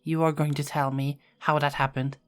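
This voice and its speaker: A woman playing a caring, dutiful young queen in an ASMR roleplay, speaking formally and softly with small hesitant stammers. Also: low-pitched, level tone, normal speed, natural voice. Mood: curious. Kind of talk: ASMR roleplay, scripted character acting.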